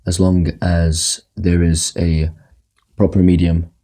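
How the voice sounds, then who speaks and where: Young male guest, low dark husky voice, hesitant and soft-spoken, repeats himself. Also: monotone, slow. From Egypt, raised in Qatar. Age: young adult. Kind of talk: casual interview talk.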